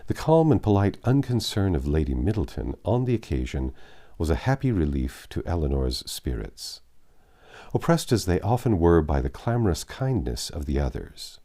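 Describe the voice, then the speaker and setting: A man with a low, mellow voice narrates a classic novel. His pitch moves widely as he reads, giving the scripted prose an expressive, literary delivery. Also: normal speed. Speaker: audiobook narrator.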